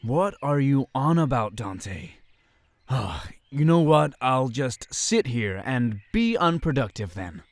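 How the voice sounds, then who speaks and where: An actor playing a disciplined, dry-witted young crusader in a medieval fantasy audio drama, speaking in measured, formal phrases. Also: male voice, medium pitch, sing-song, no room sound. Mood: irritated. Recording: faint background noise.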